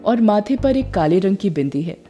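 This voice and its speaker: A woman's voice: medium pitch, sing-song, moderate pace, warm tone. A woman narrating a scripted horror story as the storyteller. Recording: music behind voice.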